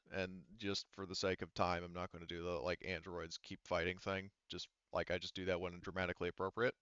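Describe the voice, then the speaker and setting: A man's voice: on the low side, a bit bright, clear, resonant tone, no room sound. A man speaking casually and profanely as game master of a tabletop role-playing game, in slightly muffled audio.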